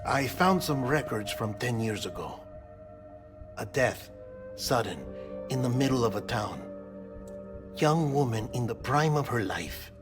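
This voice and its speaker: An actor playing a melancholy, earnest lab-grown man in a cinematic video game, speaking with deliberate, confessional phrasing. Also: mid-range voice, expressive, close to an everyday voice. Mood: solemn. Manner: deliberate, storytelling delivery. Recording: background music under dialogue.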